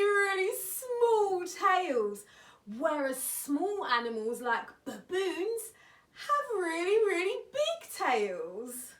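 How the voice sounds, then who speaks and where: A woman hosting a children's story show, telling tales in an expressive way with drawn-out emphasis. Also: high-pitched. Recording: roomy, distant mic, faint noise.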